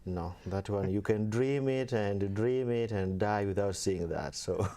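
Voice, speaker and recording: A young man from Kenya, a seminarian guest, talking casually and softly with many fillers. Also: lowish voice, a little slow. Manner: soft-spoken, many fillers, laughs easily. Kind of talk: casual interview talk.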